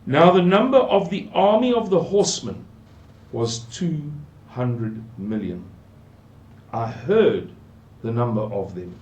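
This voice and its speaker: An older South African man teaches from scripture at a very slow pace. His voice sits in a middling register, and he muses aloud, circling back and repeating words for emphasis. The sound has a roomy echo. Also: medium pitch, very animated, a little mellow. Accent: South African English. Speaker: Bible teacher. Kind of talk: unhurried scripture commentary. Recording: echoey room.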